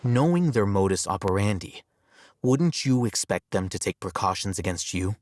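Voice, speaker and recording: An actor playing an aloof, coolly logical young male scholar in a video game, measured, detached and matter-of-fact. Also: fairly low pitch, animated, slight background noise, natural voice. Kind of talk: video game character dialogue.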